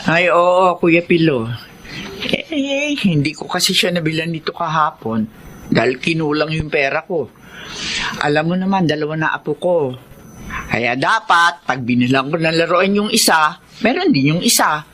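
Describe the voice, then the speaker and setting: A woman's voice: deep voice, animated, moderate pace, fairly bright tone, a little hiss or hum. An actress playing a loving, anxious middle-aged mother and grandmother who pleads for peace in a Filipino radio drama. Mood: gentle, pleading.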